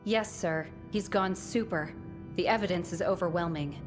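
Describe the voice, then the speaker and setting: A woman's voice: lowish voice, normal speed, faint music behind, close to an everyday voice. A woman voicing an anxious, dutiful, hesitant underling in a video game cutscene. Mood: nervous. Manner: hesitant, deferential.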